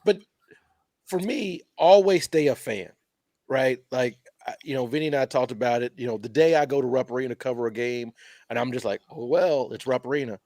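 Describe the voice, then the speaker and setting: A man's voice: medium pitch, brisk, darkish tone, no echo, studio quality. A man in his forties from Louisville with a Kentucky accent, co-hosting and joking animatedly with his guests. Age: 40s. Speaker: co-host. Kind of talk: casual chat.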